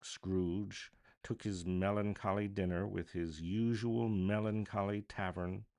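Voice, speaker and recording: A man's voice: on the low side, fairly even intonation, takes it slowly, darkish tone. A man giving a dramatic scripted narration of a classic storybook, as a voice artist reading aloud.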